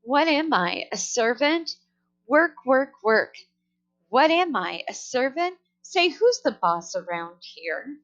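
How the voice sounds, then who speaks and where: A woman voicing a rude, bossy female pet parrot in a children's storybook, yelling repetitive, mocking phrases on a dull recording. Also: high voice, big pitch swings, slow, exaggerated voice. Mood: indignant, bossy. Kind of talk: children's storybook read-aloud. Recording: dull, lo-fi sound.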